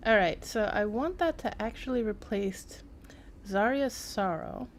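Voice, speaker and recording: A woman's voice: medium pitch, expressive, unhurried, slight background noise. A woman giving casual live-stream game commentary, rambling as she thinks aloud, with wry asides.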